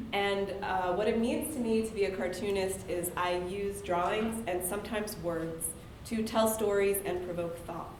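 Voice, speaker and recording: A woman's voice: medium pitch, reverberant, slight background noise, low-quality recording. A woman cartoonist speaking to an audience at a reading, losing her voice and talking in a chatty, self-deprecating way with filler words. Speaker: cartoonist and author giving a talk. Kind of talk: casual public talk to an audience. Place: university event hall.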